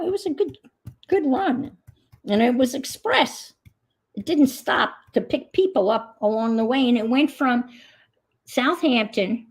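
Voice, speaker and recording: A middle-aged woman from Long Island with a light New York accent, talking slowly through a craft session. Her voice is gravelly and a bit husky, and she rambles and mutters to herself as she works. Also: on the high side, a little mellow. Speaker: craft vlogger. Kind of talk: casual chat while painting.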